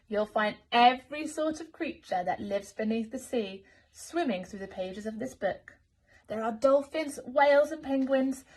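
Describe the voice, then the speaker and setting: A woman reading a rhyming picture book to children as a teacher, expressive and sing-song. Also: a little high, rough recording.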